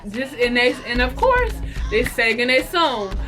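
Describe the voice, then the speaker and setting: A woman with a fairly high voice tells a casual story to her viewers. She is sassy and slangy, stretching words for emphasis and slipping into mimicry. Music plays clearly behind her. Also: sing-song, measured pace. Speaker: storytime vlogger. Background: background music.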